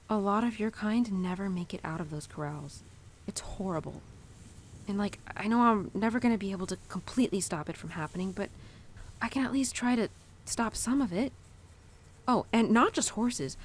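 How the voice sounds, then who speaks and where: A woman's voice: mid-range voice, close to an everyday voice. A woman playing a young, animal-loving cowgirl who confides tenderly in her horse in an intimate, casual, rambling audio roleplay. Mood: worried, earnest. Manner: casual, rambling, sighing and giggly.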